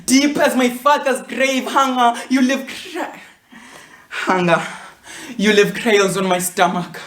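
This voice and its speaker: A woman's voice: fairly high pitch, slow pace. Actress playing defiant hungry woman, South African accent, dramatic spoken-word stage delivery, echoey room. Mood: anguished. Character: defiant hungry woman confronting hunger as an enemy. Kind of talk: dramatic spoken word monologue, switching between English and Xhosa.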